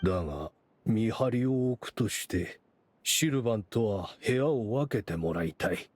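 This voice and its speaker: An actor playing an aging, weary, grave man with a husky, worn voice in a Japanese game. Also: lowish voice, lively intonation, measured pace, noisy background. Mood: grave, deliberate. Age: older.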